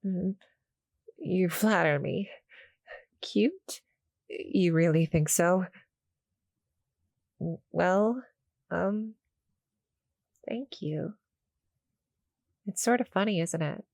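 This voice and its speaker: A woman playing a shy, gentle succubus cuddle monster in an intimate roleplay audio, speaking hesitantly, softly and politely to the listener. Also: fairly low pitch, creaky, no echo. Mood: bashful, flattered. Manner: hesitant, soft-spoken, polite, apologetic.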